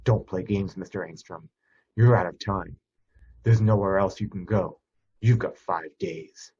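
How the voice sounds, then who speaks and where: A man voicing a gruff, menacing stranger who makes threats in a suspense story reading. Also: deep voice, a little slow, mellow, muffled recording, full character voice. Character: menacing gruff male stranger making threatening phone call.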